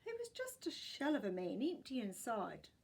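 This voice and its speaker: Lady doing a kindly nana from a storybook, sad and reflective, fairly high voice. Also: huge pitch swings, moderate pace, vocal fry, a little mellow, slightly rough, exaggerated voice. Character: kindly grandmother, sad, tender, remembering childhood. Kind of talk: storybook character voice.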